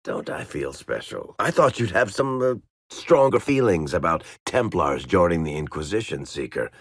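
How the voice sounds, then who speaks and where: A male actor voicing a sardonic dwarf rogue and storyteller in a fantasy video game, with a gravelly, husky voice and a dry, teasing, wisecracking delivery. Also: low-pitched, big pitch swings, normal speed, no room sound, heavily acted.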